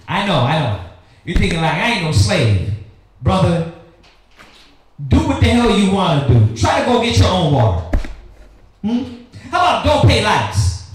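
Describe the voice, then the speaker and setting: An American man preaching and teaching scripture in a confrontational street-talking style, firing rhetorical questions at his listeners. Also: on the high side. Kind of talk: fiery teaching sermon with call and response. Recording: very echoey room, faint noise.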